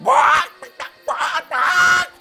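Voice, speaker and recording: A woman's voice: extremely high pitch, lively intonation, drawn-out words, faint music behind, voice pushed up, full character voice. A woman voicing hostile farmyard chickens who squawk and shoo a stranger away. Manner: squawking, shooing.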